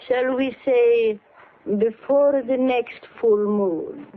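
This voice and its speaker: A woman's voice: fairly high pitch, very slow. An actress playing a beautiful, mysterious woman with a poised, teasing manner in old radio drama. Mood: cool, seductive. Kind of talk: 1940s radio acting. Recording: muffled, noisy old radio recording.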